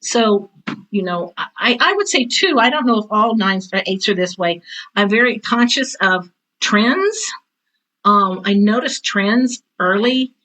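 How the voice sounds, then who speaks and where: Woman, 60s, forward bright tone, emphatic and chatty, interview guest telling anecdotes. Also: mid-range voice, lively intonation, measured pace, low-quality recording. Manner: chatty, emphatic, often quotes herself and others. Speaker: guest, veteran theater teacher and author. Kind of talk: animated conversational interview, anecdotes.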